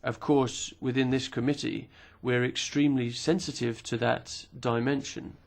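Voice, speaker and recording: A man simultaneously interpreting speeches at a parliamentary committee hearing, formal and measured, pausing with occasional fillers. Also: mid-range voice, little pitch movement, creaky.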